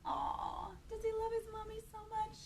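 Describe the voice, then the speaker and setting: A woman hosting children's storytime, reading a picture book in an animated, playful way and chatting to young listeners with questions and exclamations. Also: extremely high pitch, moderate pace, far from the mic, a little hiss or hum.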